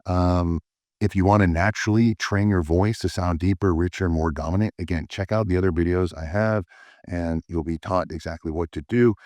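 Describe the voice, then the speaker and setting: A man with a deep voice giving dating advice straight to the camera, emphatic and often checking in with "all right?". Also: steady tone, fairly quick, a little mellow, dry recording. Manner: fillers and restarts. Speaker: dating coach. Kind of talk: direct-to-camera advice talk.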